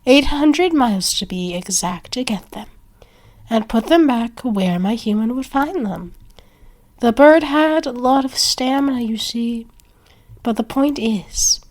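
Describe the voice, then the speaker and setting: Casting: a woman as a yandere vampire for ASMR roleplay, higher voice, very unhurried, haughty, slipping into whispers. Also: animated, a little mellow. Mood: smug.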